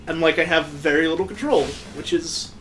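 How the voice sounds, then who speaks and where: A man's voice: a little high, a little fast, fairly bright tone, faint music behind. A man hosting a let's play with casual, sarcastic and amused griping commentary on a video game.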